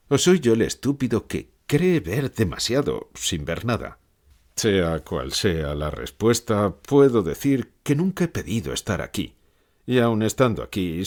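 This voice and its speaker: A man acts out an old philosopher answering questions in a dramatized literary interview. The voice is low and very animated in its melody. He reflects with gloomy irony and leans on his words with sardonic emphasis. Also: heavily acted. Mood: bleak, weary.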